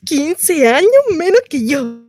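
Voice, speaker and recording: An actress as a bright-sliding, confiding housewife in dry sound. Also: female voice, high voice, expressive, takes it slowly, no echo. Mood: coyly confessional. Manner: confessional, rambling, self-interrupting, dramatic. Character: confiding, mistreated housewife, chatty, naive, wryly comic. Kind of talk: theatrical comic monologue addressed to a listener.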